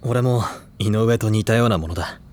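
Low-voiced, serious high school boy acted by a man. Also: a little mellow, slight background noise, lightly acted. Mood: wistful. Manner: measured, formal, restrained. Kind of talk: Japanese audio drama dialogue.

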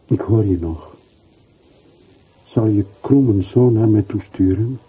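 An actor playing a weary, regretful middle-aged former soldier over a telephone in a radio play, subdued, in halting short phrases. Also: male voice, lowish voice, husky, full character voice. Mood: subdued, questioning. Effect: telephone voice filter. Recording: muffled phone-like old radio recording.

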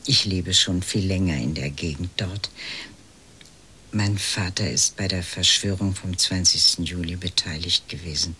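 An actress playing a polite, hesitant elderly lady, a detective's client in a radio crime drama, speaking in measured, breathy phrases. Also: rumbling low, bright, forceful tone, a little hiss or hum, heavily acted. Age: 60s or older.

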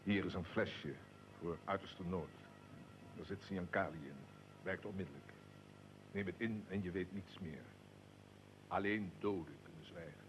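An actor playing a commanding Serbian colonel and secret society leader in a historical radio drama, solemn and deliberate. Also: male voice, sits low, slight background noise, poor audio. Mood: grave.

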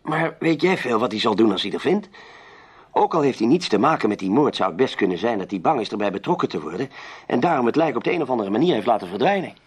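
An actor playing a shrewd, composed male investigator in a radio play, reasoning deliberately and with authority. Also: mid-range voice, big pitch swings, slightly rough. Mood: reasoning, measured. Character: shrewd, composed male sleuth and protective companion.

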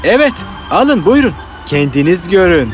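A young, romantic fairy-tale cartoon hero. He speaks politely and earnestly in a rather high, pleading voice, with music clearly underneath. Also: very animated, normal speed. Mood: obliging. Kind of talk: animated fairy tale acting.

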